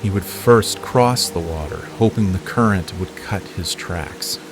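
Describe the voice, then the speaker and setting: A man playing a calm, terse tracker and protector in an audio drama. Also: low voice, a little slow, over music. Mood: calm, certain.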